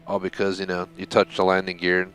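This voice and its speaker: A man's voice: low voice, monotone, fairly quick, fairly bright tone, clear, resonant tone, poor audio. A young man giving casual, rambling live commentary while playing a game, swearing when frustrated. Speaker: game streamer.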